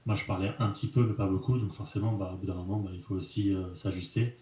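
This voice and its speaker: A man's voice: deep voice, fairly even intonation, quick pace, mellow, reverberant. A man, an entrepreneur speaking as the guest in a casual interview, frank and colloquial with many fillers.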